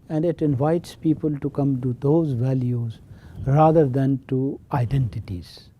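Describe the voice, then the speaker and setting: An older man with a Pakistani accent speaks in a very dark, mellow voice at a middle pitch. He explains things like a professor, with deliberate emphasis and rhetorical check questions. A faint low rumble sits behind him. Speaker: professor of Islamic studies. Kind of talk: explanatory interview talk.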